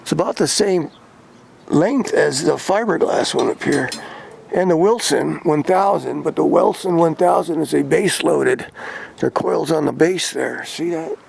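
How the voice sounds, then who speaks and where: An American man with a gravelly voice, talking casually and informally as a hobbyist vlogger. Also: a little high, unhurried, a little mellow, slight background noise, low-quality recording. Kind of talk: casual hands-on gear talk.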